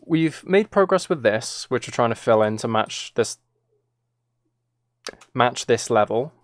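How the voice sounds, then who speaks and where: A man talks through his game stream in a loose, self-deprecating way, chuckling as he goes. His voice sounds a little worn and hoarse, sitting at an ordinary middle pitch. The sound is dry and close to the mic. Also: no echo. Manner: chatty, self-deprecating, laughs often. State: slightly hoarse from recording. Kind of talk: casual live-stream chat while playing.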